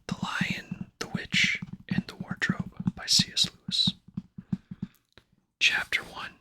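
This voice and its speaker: A man whispering in a breathy, hushed and soothing way, as an ASMR host reading a book aloud. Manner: slow, soothing, hushed delivery.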